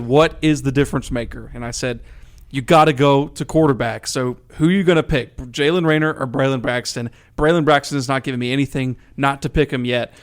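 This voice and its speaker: A man co-hosting a sports radio show, talking casually through game picks. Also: medium pitch.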